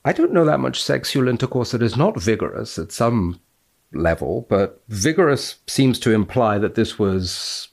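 A man speaking as an expert guest, explaining and reading out research in a measured, precise way. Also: mid-range voice, very animated, gravelly.

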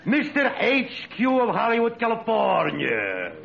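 An actor playing a blunt, eccentric, comically rude Eastern European professor in a 1940s radio comedy, mock-courteous and deadpan. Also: male voice, high voice, measured pace, creaky, full character voice. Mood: mock-grand. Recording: noisy, muffled old radio recording.

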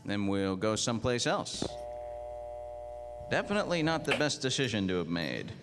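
Hardboiled noir detective, lively pitch, music behind. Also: male voice, moderate pace. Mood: wry, world-weary. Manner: terse, tough, deadpan. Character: hardboiled world-weary male police detective narrator. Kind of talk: 1940s noir radio drama acting. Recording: radio play over prominent music.

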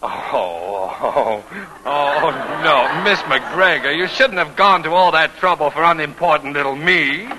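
A man's voice: a little high, expressive, unhurried. An actor playing a hesitant, drily joking male job seeker in a 1940s radio comedy, with a sheepish manner. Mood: flustered, droll. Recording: noisy old radio broadcast recording.

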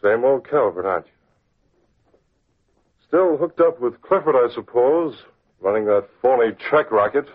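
An actor playing a decisive, authoritative masked crime-fighter in an old radio drama, with clipped delivery. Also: male voice, mid-range voice, animated, unhurried, pauses often, breathy edge. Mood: menacing. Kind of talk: 1940s radio drama acting. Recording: tinny, scratchy old radio recording.

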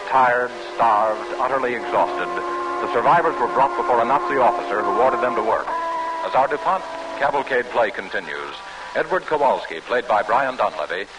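Old time radio announcer guy, scripted narration, medium pitch, noisy vintage recording.

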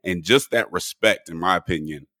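A man hosting a podcast in relaxed conversational talk, his voice a little hoarse and cracking. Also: deep voice, normal speed, no room sound, clean studio sound. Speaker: podcast host. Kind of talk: casual podcast chat.

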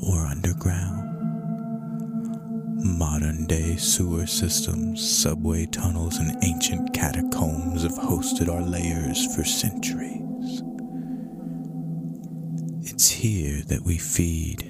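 A man voicing a bitter, world-weary vampire who narrates a horror story in a breathy, gravelly rasp with dramatic delivery. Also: deep voice, level tone, pitched below the performer's own voice. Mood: brooding menace. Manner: slow, brooding, dramatic delivery. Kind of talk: dramatic reading of horror fiction. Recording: loud music bed underneath.

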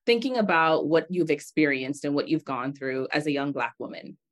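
A woman from the Bahamas hosting an interview, enthusiastic and quick to exclaim as she asks her guest questions. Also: low voice. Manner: enthusiastic, exclaims and laughs freely. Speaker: podcast host. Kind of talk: conversational interview.

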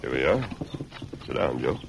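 An actor playing a calm, authoritative masked hero in an old radio western, husky and measured in his delivery. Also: background noise, heavily acted. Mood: calm, earnest. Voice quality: very deep, husky. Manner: measured, earnest, commanding. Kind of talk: 1940s-50s radio western acting. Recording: dull lo-fi old radio recording.

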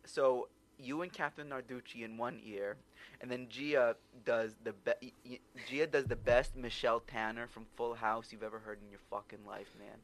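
A man's voice: mid-range voice, steady tone, moderate pace. A man hosting an interview, bantering enthusiastically and swearing casually. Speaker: host.

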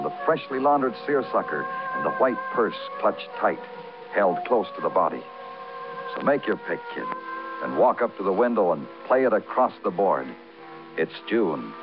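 Weary police detective, deep voice, poetic, muffled vintage radio recording. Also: male voice. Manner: terse in dialogue, lyrical in narration. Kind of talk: 1950s radio noir narration and dialogue.

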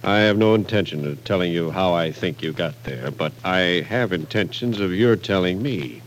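An American actor playing a patient police captain, questioning with measured persistence in an old radio drama. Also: male voice, low-pitched, slight background noise, close to an everyday voice, rough recording. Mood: patient, probing. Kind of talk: 1940s radio drama acting.

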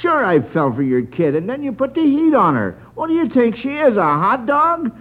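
A man's voice: extremely high pitch, huge pitch swings, normal speed, narrow, tinny audio, voice pushed up, heavily acted. A man voicing a brash, slangy gangster tough guy who threatens in wisecracks for a radio quiz. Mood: menacing, bossy. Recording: band-limited old radio broadcast.

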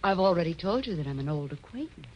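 A cool, threatening stranger in a vintage radio thriller. The voice sits fairly high and swings widely as it mocks in clipped phrases. The old recording sounds dull. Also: male voice, big pitch swings, fast talker, a little hiss or hum. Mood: menacing, mocking. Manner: clipped, mocking. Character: menacing, coolly evasive stranger with a gun. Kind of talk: 1940s radio noir acting.

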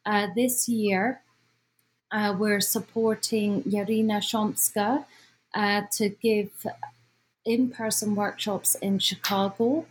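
A woman with a Scottish accent informally presenting an event as its organizer, speaking haltingly as she searches for words. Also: medium pitch, steady tone, a little hiss or hum, low-quality recording. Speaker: symposium organizer, guest speaker.

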